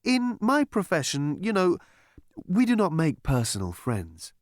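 A man voicing a smarmy, cheerful male psychiatrist in a high sing-song manner, in an audiobook reading. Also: huge pitch swings, unhurried, no echo, studio quality, full character voice.

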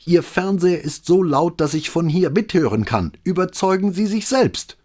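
A man voicing a furious, near-hysterical woman caller whose voice grows shrill with rage. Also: animated, normal speed, a little mellow.

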